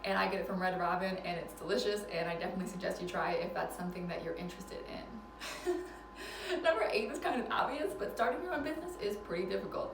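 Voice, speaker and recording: A woman's voice: medium pitch, gravelly, distant mic. A woman in her twenties chatting casually in an advice vlog, laughing often and poking fun at herself, recorded indoors at a relative's house. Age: 20s. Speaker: vlogger. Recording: roomy, mic set back, faint background noise.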